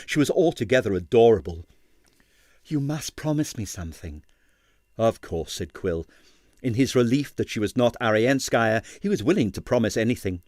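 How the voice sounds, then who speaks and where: A man reading scripted literary narration as an audiobook storyteller, in an expressive manner. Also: mid-range voice, dry recording, clean studio sound.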